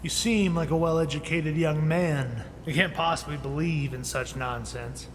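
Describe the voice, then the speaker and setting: A man's voice: fairly high pitch, normal speed, close to an everyday voice. An actor playing a learned, irritable inventor in his late fifties in an audio drama, speaking formally and condescendingly. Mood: patient, explaining. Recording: noisy, echoing with long reverb.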